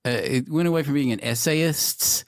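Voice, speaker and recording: A man's voice: medium pitch, normal speed, no room sound, studio quality. A man in his forties co-hosting a podcast, talking in a casual, rambling way with lots of swearing and laughter. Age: 40s. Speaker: podcast co-host. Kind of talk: casual chat.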